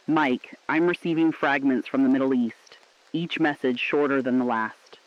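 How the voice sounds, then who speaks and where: A man playing a solemn radio correspondent reporting humanity's collapse, in scripted narration that is grave, deliberate and poetic. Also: medium pitch, muffled recording, natural voice. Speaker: radio broadcaster.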